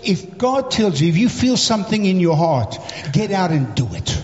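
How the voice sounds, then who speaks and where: A man in his sixties preaching a sermon in short, animated bursts, pausing for an interpreter. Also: high voice. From South Africa. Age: 60s. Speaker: visiting preacher. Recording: muffled, echoey hall.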